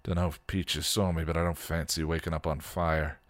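A sarcastic, world-weary punk vampire, full of slang, as a man reading fan fiction does the voice. The voice sits very low and sounds dry and close. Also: little pitch movement, brisk, no echo. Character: sardonic grieving male punk vampire.

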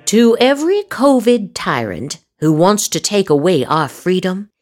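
A woman's voice: medium pitch, big pitch swings, unhurried. A woman host reading social media posts aloud in a theatrical, emphatic way, drawing out her words. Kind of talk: dramatic verbal replay of written posts. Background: music.

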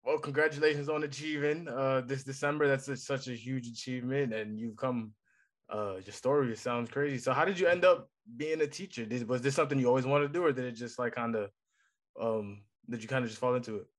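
A man's voice: mid-range voice, steady tone, brisk. A man hosting an interview podcast, asking friendly, upbeat questions. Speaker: podcast host.

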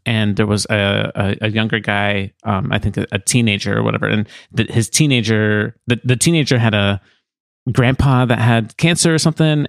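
A man's voice: mid-range voice, no room sound, clean studio sound. A man co-hosting a podcast in a casual, conversational style. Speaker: podcast co-host.

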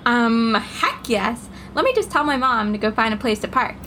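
A woman playing a curious, excitable young girl in an audio drama, with a casual, youthful way of talking. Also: fairly high pitch, normal speed, slight background noise. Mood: eager.

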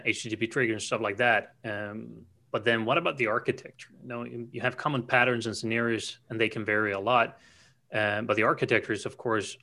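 A man with a bright, forceful voice co-hosts a relaxed tech podcast. He explains step by step, often restarting his phrases as he thinks aloud. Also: medium pitch. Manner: explanatory, frequent restarts and fillers. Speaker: podcast co-host. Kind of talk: casual technical podcast chat.

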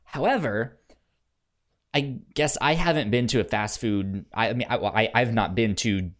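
This is a podcast co-host with a medium-pitched speaking voice in a casual discussion. The delivery is halting and conversational, with words repeated and sentences often begun again before they land. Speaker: podcast co-host reviewing anime. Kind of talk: casual chat.

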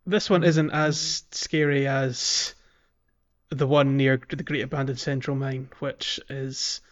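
A man's voice: medium pitch, level tone, slow, dull audio. A man giving casual, chatty gaming commentary as a podcast host, telling things informally.

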